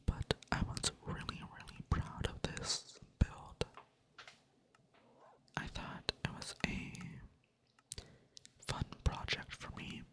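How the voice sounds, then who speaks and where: A soft, unvoiced whisper that drifts along slowly and stays monotone, with casual, rambling chat over gameplay. It sounds close to the mic at a computer desk and is gently self-mocking throughout. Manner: monotone delivery. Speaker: ASMR gaming creator.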